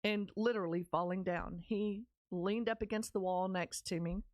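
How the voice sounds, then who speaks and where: A woman's voice: mid-range voice, steady tone, normal speed, a little mellow, no echo. A middle-aged woman with a Texas accent, hosting a podcast and telling her personal story in an earnest, candid way, speaking straight to her listeners. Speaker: podcast host. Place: small podcast studio, indoors. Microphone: studio mic on stand, close, with headphones.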